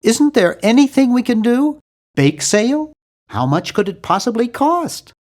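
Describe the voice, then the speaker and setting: A man voicing a nerdy, earnest and anxious young hero in a video game, with a fussy, animated delivery. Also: extremely high pitch, measured pace, heavily acted. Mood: worried, pleading. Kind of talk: video game character voice lines.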